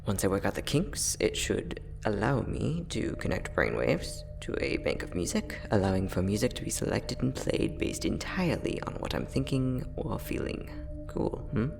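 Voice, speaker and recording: A man playing a friendly, teasing time-traveling inventor, soft-spoken and chatty in an ASMR roleplay audio drama. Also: lowish voice, sing-song, moderate pace, creaky, over music. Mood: eager, proud. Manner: soft-spoken, chatty, playful, with frequent chuckles.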